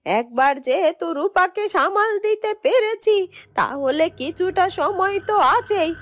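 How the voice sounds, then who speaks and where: A woman voicing a scheming, greedy younger sister of a witch in a fairy-tale cartoon, fretful and excitable. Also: extremely high pitch, measured pace, dry recording, studio quality, exaggerated voice. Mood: anxious scheming.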